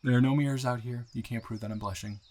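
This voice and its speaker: A man playing a nervous, smitten new guy in town in an improvised romantic audio roleplay, halting and playfully teasing. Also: medium pitch, fairly even intonation, a little mellow, natural voice. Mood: bashful, flustered.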